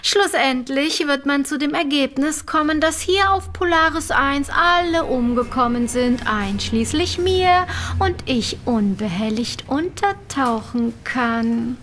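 An actress playing a cold-blooded scientist who is a hired killer, gloating softly and theatrically in an audio drama. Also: female voice, high voice, animated, exaggerated voice. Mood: smug gloating. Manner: slow, smug, theatrical gloating with soft menacing phrasing.

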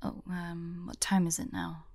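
A woman playing an anxious, forlorn young female hunter in an intimate audio roleplay, soft and breathy, often dropping to whispers. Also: lowish voice, completely flat delivery, unhurried, no echo. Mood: relieved, hesitant.

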